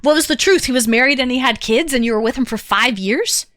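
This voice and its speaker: A woman's voice: high voice, fast, a bit bright, dry recording, clean studio sound. A woman streamer telling a personal story to her chat, candid and rambling with lots of fillers. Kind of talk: casual chat to stream viewers.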